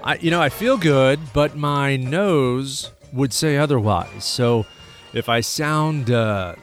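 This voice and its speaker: A man's voice: medium pitch, lively intonation, slow, soft background music. A man hosting a public affairs radio talk show, chatting casually with a stuffy nose and many fillers and restarts. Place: radio studio.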